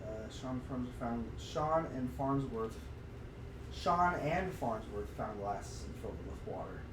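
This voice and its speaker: A man co-hosting a podcast in informal, exclamatory chat, thinking out loud as he goes. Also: medium pitch, lively intonation, a little slow. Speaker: podcast co-host. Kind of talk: casual chat, reasoning aloud and reading story passages. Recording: roomy, noisy livestream, distant from mic.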